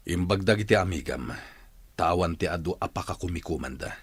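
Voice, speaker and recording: A man's voice: low voice, brisk, husky, no room sound. A man acting in a radio drama as a curt, authoritative, well-off businessman and boss. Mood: dismissive.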